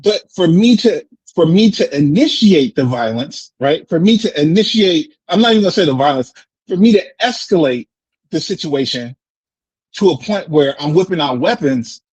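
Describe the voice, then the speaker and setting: An American man in a casual debate, explaining in an animated way, repeating words and often checking with "right?". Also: on the high side, normal speed, breathy edge, no room sound, lo-fi sound. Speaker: discussion participant answering questions.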